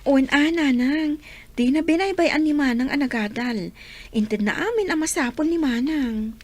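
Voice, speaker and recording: A woman plays a cheerful young daughter in an audio drama. Her voice is high and she chats proudly with her mother. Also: a little slow.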